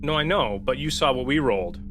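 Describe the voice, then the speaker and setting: A man with a mid-range voice plays a tabletop roleplaying game. His casual chat is questioning and a bit argumentative. Music is clearly audible behind him. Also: medium pitch, expressive, a little fast. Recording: background music under the voice.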